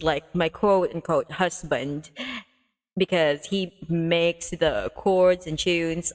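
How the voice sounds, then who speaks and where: A playful, giggly, expressive woman streamer chatting and reading a visual novel aloud on a livestream. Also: on the low side.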